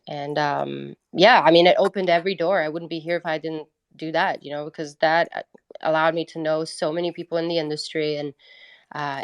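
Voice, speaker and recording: A woman's voice: deep voice, smooth. A native Italian-speaking woman from Italy telling her story casually and candidly as an interview guest. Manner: candid, informal. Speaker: guest, independent musician.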